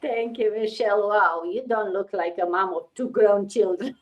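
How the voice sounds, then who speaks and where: A woman's voice: mid-range voice, normal speed, muffled recording. A middle-aged woman with a Romanian accent hosting a live video chat, upbeat and welcoming, with fillers. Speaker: host.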